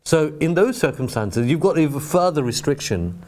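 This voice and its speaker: A man hosting an interview, asking measured, thoughtful questions with occasional fillers. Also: on the high side, big pitch swings, a little mellow. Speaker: interviewer host.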